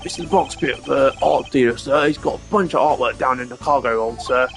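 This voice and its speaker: A man's voice: medium pitch, brisk, gravelly, lightly acted. A man voicing a plain-spoken male police detective with a blunt manner. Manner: blunt, calls people sir and pal. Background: game music.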